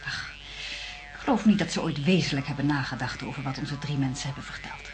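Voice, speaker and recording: An actress playing a doctor's composed assistant, frank and matter-of-fact, in a radio drama. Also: female voice, low voice, a little fast, music in the background, close to an everyday voice. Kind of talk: naturalistic radio drama dialogue.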